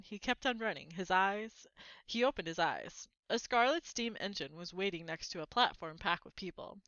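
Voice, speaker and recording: A woman narrates a children's book aloud with expression. Her voice is bright and forward at a middling pitch. It is recorded close and dry, with slightly dulled sound. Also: no echo, highs cut off.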